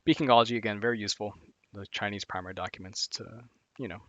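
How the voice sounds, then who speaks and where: A male streamer with a fairly low voice talks loosely and casually, piling on fillers and circling back on himself. The sound is close and completely dry, with no room around it. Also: racing, a bit bright, no room sound. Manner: rambling, heavy on "like" and "again", frequent restarts. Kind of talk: casual live-stream commentary on finance resources.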